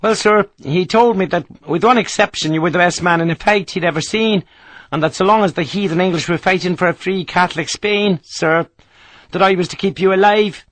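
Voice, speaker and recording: A man's voice: sits high, brisk, fairly bright tone, no echo, pitched above the performer's own voice. A man voicing a big, blunt Irish rifleman who talks in salty soldier's slang, with an Irish accent. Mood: wry. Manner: gruff, salty soldier's talk.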